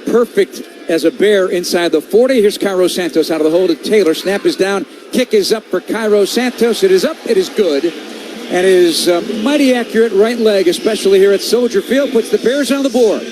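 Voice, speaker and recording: A man's voice: high-pitched, brisk, a little mellow. An American man doing live play-by-play radio commentary on American football, in clipped phrases. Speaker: play-by-play sports announcer. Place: stadium broadcast booth. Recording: clear stadium ambience noise behind voice. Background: stadium ambience.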